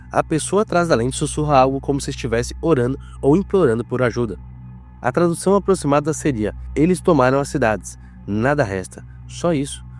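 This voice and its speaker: A Brazilian man narrates a scripted horror documentary in a mid-pitched voice. His delivery is solemn and measured, with dramatic weight, and soft music plays underneath.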